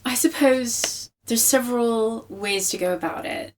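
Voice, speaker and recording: A woman's voice: on the high side, unhurried, background noise. A woman speaking as a novelist in an interview, explaining her craft conversationally and repeating phrases for emphasis. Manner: explanatory, with emphatic repetition for effect.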